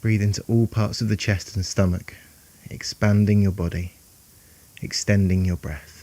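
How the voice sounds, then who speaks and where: A man guiding a meditation in a calm, soothing, unhurried way, leaving long pauses between phrases. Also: on the low side. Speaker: meditation guide. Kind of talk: guided meditation narration.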